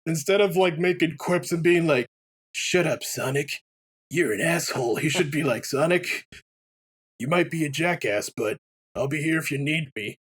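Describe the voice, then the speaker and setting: Male let's play commentator, higher pitch, loose conversational delivery, rambling opinions with swearing. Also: normal speed, husky. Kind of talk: casual opinionated game commentary chat.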